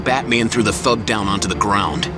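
Lowish-voiced male dramatic narrator. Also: very noisy. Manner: measured, suspenseful. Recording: loud music and effects behind.

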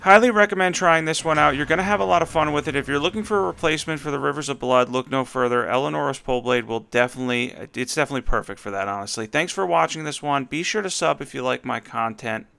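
A man with a hoarse voice from a cold, giving an upbeat commentary on a game build as a channel host. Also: fairly high pitch, fast, few pauses, bright, forceful tone. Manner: upbeat, enthusiastic rundown.

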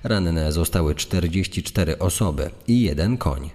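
A formal male official played by an actor reads a factual report in a true-crime drama. His voice is very low, with long hall reverb. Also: lively intonation, a little slow, a little mellow. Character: official reading out a sheriff's search report.

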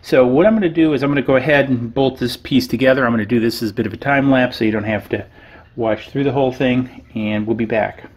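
A man giving a casual hands-on tutorial, thinking aloud in a halting way. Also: medium pitch, a little mellow. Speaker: host of a maker show.

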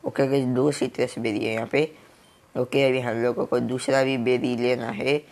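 A young man with a Hindi accent, hoarse from a cold, giving casual game commentary. He keeps stuffing "guys" between his phrases. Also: mid-range voice, flat delivery, moderate pace, a little mellow. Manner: filler-heavy, keeps saying "guys". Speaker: gaming YouTuber.

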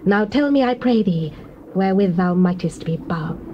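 A woman's voice: mid-range voice, expressive, normal speed, highs cut off, lightly acted. A woman playing a scheming, seductive, persistent betrayer, coaxing and theatrical, in a dramatized Bible reading. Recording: faintly noisy old cassette recording.

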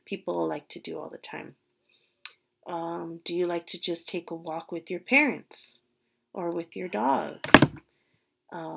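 A middle-aged woman teacher leading young children through a calm visualization exercise, talking slowly and checking in with "okay?" after each step, recorded on a laptop webcam in a small bedroom. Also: sits low, gravelly. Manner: slow, soothing, with frequent "okay?" check-ins. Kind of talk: guided visualization for young students. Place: small bedroom at home, indoors. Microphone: laptop webcam mic.

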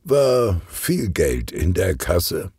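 Man voicing a calm gravelly police detective. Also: deep voice, no echo, studio quality, heavily acted. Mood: calm, reassuring. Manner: unhurried, reassuring, murmuring. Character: calm, good-natured, portly male police detective.

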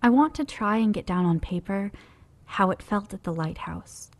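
An actress playing a reflective, uneasy young woman narrating her survivor journal in an audio drama, measured and confiding. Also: medium pitch, animated, lightly acted. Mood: pensive, awed.

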